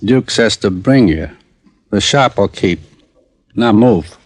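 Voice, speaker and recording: An actor playing a suspicious, brusque small-time crook running a watch shop, in a 1950s radio drama. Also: male voice, lowish voice, expressive, slow. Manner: brusque, impatient.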